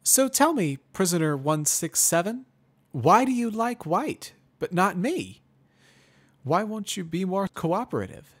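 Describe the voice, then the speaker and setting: A man's voice: sits high, very animated, a little slow, a bit bright, breathy edge, pitched above the performer's own voice, full character voice. A man voicing a polite, inquisitive female pony in a storybook reading, with a lilting, emphatic manner.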